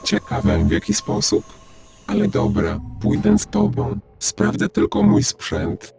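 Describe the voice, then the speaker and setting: Low creaky guy telling a game like a story, loud music behind him. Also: wildly swooping pitch, measured pace. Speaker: narrator of a video game playthrough. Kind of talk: storytelling game commentary, speaking as the player character.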